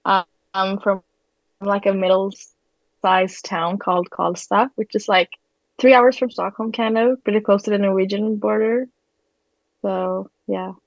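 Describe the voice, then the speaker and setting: A woman's voice: medium pitch, steady tone, unhurried, no room sound, muffled recording. A teenage woman from Sweden with a Swedish accent, chatting casually and hesitantly as a podcast guest. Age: teens. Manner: hesitant, frequent "like". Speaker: podcast guest, exchange student. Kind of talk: casual chat.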